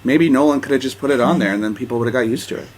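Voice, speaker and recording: A man's voice: mid-range voice, racing, a little mellow. Joking man on a podcast. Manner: joking, often says "like". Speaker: podcast co-host, guest. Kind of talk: casual podcast chat.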